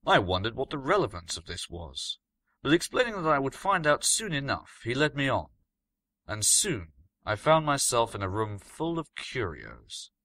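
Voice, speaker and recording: A man narrates gothic fiction in a bright, forward voice with lively intonation. His delivery is measured and dramatic, recorded dry and close. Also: medium pitch, dry recording. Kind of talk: scripted story reading, gothic first-person fiction.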